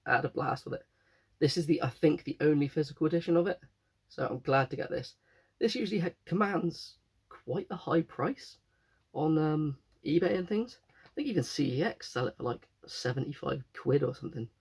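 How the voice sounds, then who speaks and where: A man's voice: fairly high pitch, animated, fairly quick, creaky, slightly rough. A young man with a cold, chatting casually and rambling about his latest finds, often correcting himself. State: croaky with a cold. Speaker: game collector vlogger. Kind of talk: casual chat.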